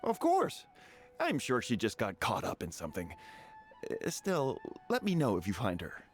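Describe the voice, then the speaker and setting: A man's voice: medium pitch, huge pitch swings, normal speed. A man playing a genial, concerned townsman in a video game. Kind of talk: video game character voice acting. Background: music.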